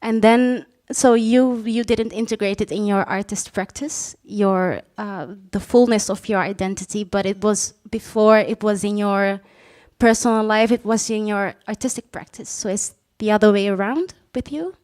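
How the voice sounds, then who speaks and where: A woman's voice: mid-range voice, fairly even intonation, normal speed, a little mellow. A woman moderating a live panel, asking questions and restating the answers to check that she understood them. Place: stage talk before an audience, no microphones.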